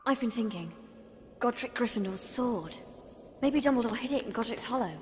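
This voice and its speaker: A woman's voice: a little high, expressive, moderate pace, heavy background noise, lightly acted. A young woman playing an earnest, anxious young witch in a video game cutscene, speaking carefully and thoughtfully. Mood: wary, thoughtful. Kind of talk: dramatic game cutscene acting. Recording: loud music over the voice.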